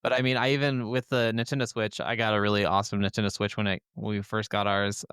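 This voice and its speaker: Low, clear-voiced man chatting casually. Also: flat delivery, fast, a bit bright, no room sound, studio quality. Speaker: podcast host. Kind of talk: casual chat about video games.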